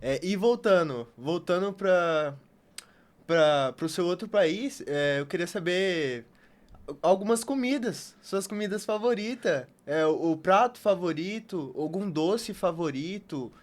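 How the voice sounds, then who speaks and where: A man with a Brazilian accent and a fairly high voice co-hosts a podcast. He speaks in a casual, conversational way. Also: unhurried. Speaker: podcast co-host. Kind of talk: casual chat.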